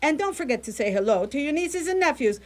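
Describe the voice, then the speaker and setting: A woman's voice: high-pitched, sing-song. A woman playing a bossy older Italian mother with an Italian accent, scolding in a radio comedy. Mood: exasperated, bossy. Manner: scolding, exasperated, rapid questions. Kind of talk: live radio comedy drama acting.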